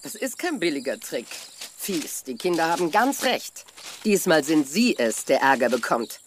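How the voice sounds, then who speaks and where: A woman's voice: low-pitched, very animated, moderate pace, slight background noise. An actress playing a resolute farm mother in a children's radio play, with a firm, commanding manner. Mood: stern. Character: resolute, stern farm mother with a warm heart.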